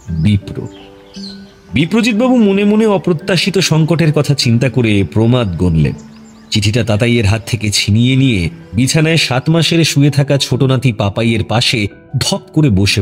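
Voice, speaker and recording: A male narrator tells a scripted story with lively, expressive intonation at a mid pitch. Soft music runs behind him.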